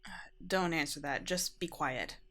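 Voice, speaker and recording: Woman playing a flustered young vampire, lower-pitched voice. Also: very noisy, natural voice. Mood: flustered, defensive. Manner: halting, defensive, sarcastic asides. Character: flustered young female vampire, exasperated but caring. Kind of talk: ASMR roleplay acting, conversational.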